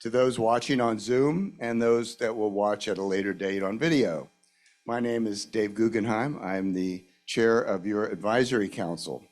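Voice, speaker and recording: A man's voice: lowish voice, normal speed, darkish tone, faint music behind. A man introducing a guest speaker to an audience, courteously reading out his background. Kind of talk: formal introduction.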